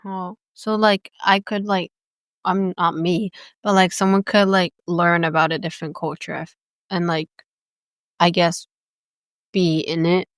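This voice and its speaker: Sex: woman. Origin: United States. Role: podcast host. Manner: rambling, giggly, full of fillers. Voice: smooth and clear, mid pitch. Room: dry. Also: level tone, unhurried, long pauses, no room sound. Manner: rambling, giggly, heavy use of "like". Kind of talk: casual chat.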